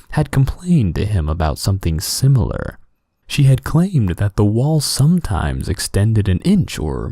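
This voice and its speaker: A man reads a scary story as soothing bedtime narration. His voice sits on the low side and has a dark, relaxed tone. The pitch moves in a lively way through the scripted lines, and the recording is very dry. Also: no room sound.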